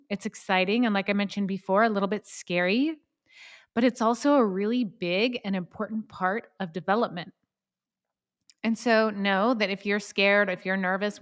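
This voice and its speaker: A woman of about fifty hosting a solo podcast, giving conversational advice in an informal way and putting questions straight to the listener. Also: medium pitch. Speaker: podcast host and parenting coach.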